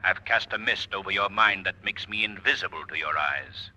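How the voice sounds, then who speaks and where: An actor playing an invisible male avenger who speaks in a commanding, taunting, softly menacing way, in 1940s radio style. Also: sits low, moderate pace, heavily acted, poor audio.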